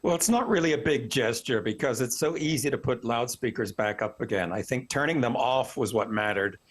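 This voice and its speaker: Man, academic news guest. Medium-pitched voice, articulate, opinionated, analytical commentary. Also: brisk. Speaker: professor, expert news guest.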